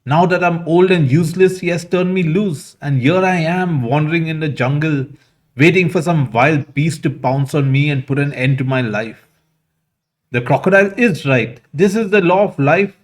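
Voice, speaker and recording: A man's voice: on the high side, normal speed, voice pushed up, close to an everyday voice. A man voicing an old, worn-out donkey, bitter and resigned, in a told fable.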